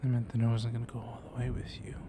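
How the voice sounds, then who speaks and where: A man playing a flirtatious, gently dominant vampire librarian in an intimate ASMR roleplay, speaking softly and often in a whisper, teasing and seductive. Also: medium pitch, completely flat delivery, very fast, background noise, lightly acted.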